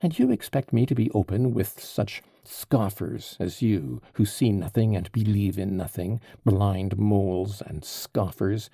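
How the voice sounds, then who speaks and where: A man voicing a desperate, furious young man under arrest, in a gravelly, dark voice. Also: on the low side, no echo, clean studio sound. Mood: defiant.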